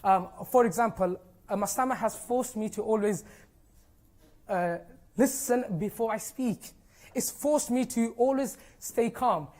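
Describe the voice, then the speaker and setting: A young man speaks with a noticeable stammer, repeating sounds and stalling on the first syllables of words. He is a teacher addressing an audience in an informal, earnest, encouraging way, with many "you know" and "like" fillers. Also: high-pitched. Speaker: teacher giving a conference talk. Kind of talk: informal personal talk to an audience.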